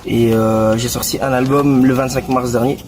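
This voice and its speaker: A man in his twenties from Belgium, a rapper talking in a relaxed, slangy way with lots of restarts and fillers. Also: mid-range voice, normal speed. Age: 20s. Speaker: guest rapper. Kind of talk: casual interview. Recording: distant mic with clear background noise.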